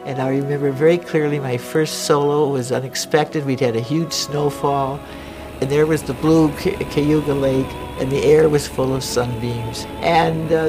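Older woman, measured and reflective. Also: sits low, low-quality recording. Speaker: interviewee, former wartime pilot. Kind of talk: documentary interview, reflective.

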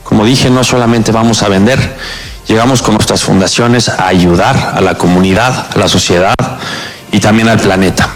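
A man's voice: on the low side, steady tone, normal speed. Male company representative over music. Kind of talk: interview statement. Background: background music.

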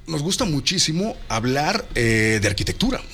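A man's voice: a little high, sing-song, normal speed, a bit bright. A man hosting a radio talk show, chatty and enthusiastic, often talking over his guest. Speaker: radio host.

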